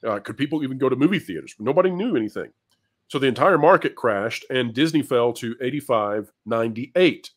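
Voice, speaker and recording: A man's voice: mid-range voice, expressive, a little fast. A man hosting commentary and panel talk, with an emphatic, wordy presenter's delivery. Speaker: host of an entertainment business news channel.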